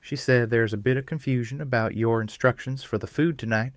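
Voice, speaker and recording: An actor playing a polite, apologetic husband in an audio drama. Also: male voice, mid-range voice, steady tone, fairly quick, no echo, lightly acted. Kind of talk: fan audio drama acting.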